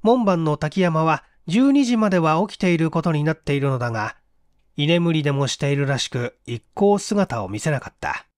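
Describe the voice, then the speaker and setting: A male storyteller reads a mystery with dramatic, widely varied intonation. His voice sits somewhat high for a man. The recording is dry, with little room sound. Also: expressive, normal speed, no room sound.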